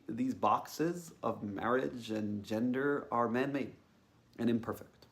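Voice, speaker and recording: A man's voice: lowish voice, vocal fry. A man giving a reflective personal monologue as a host, musing tentatively and thinking aloud. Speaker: podcast host.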